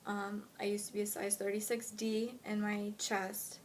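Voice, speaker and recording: Young American woman, even-toned, noisy recording. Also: medium pitch, unhurried. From United States, lived in Honduras when younger. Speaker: fitness blogger introducing self to followers. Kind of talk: casual vlog chat answering questions. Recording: clear steady background noise.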